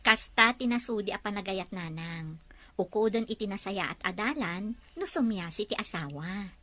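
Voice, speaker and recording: A woman acting a forbearing, soft-hearted young wife in a drama. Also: medium pitch, animated, moderate pace. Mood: subdued, patient. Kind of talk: Ilocano-language drama dialogue.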